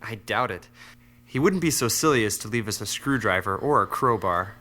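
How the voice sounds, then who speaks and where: An actor playing a wry, resourceful captive teenage younger brother in an audio drama, dry and matter-of-fact. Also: male voice, fairly low pitch, expressive, moderate pace, bright, forceful tone. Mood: practical.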